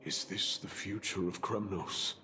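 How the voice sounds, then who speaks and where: A man playing a grave, resolute warrior prince in a video game, speaking solemnly in formal, measured phrases. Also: low-pitched, steady tone, noisy background. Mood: grave, brooding. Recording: music behind the voice.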